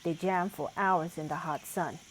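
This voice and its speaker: Female storyteller for a children's picture book, on the lower side, with some background noise. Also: fairly even intonation, moderate pace, poor audio. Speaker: read-aloud storyteller.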